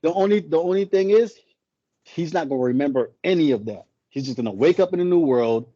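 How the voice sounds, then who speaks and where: A man's voice: on the high side, animated, a little fast, dark tone, dry recording, dull audio. A man who speaks English as a later language, chatting casually as a podcast co-host and retelling a story in a rambling way, often correcting himself. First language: not English.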